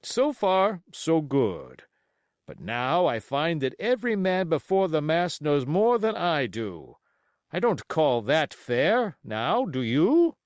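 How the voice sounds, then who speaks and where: A stern, plain-spoken sea captain, as acted by a male audiobook reader. He bites off formal, emphatic phrases at a slow pace. His pitch leaps about stubbornly. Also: big pitch swings, no echo, studio quality. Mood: blunt, dissatisfied. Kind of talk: audiobook character acting.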